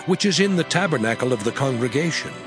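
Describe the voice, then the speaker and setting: A man's voice: medium pitch, lively intonation, fast. A man giving a solemn, declamatory dramatized reading of scripture as an audio Bible narrator. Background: music under the voice.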